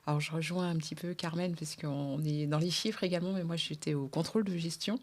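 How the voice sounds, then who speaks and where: A woman in her forties from Martinique, a guest telling her personal story in a measured way on a roundtable podcast. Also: low-pitched, fairly even intonation, darkish tone, slight background noise. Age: 40s. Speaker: guest, natural cosmetics founder.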